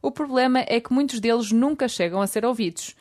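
Female radio host, bright and brisk, slightly high. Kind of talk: youth radio magazine presenting.

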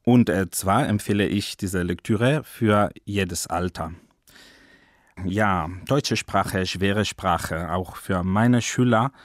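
This Spanish-accented man in his forties speaks German as a second language, earnest and enthusiastic. His voice sits somewhat low and he takes his time, unhurried and deliberate. The sound is close and dry, with no room echo. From Spain. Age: 40s. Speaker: German teacher. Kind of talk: personal portrait interview.

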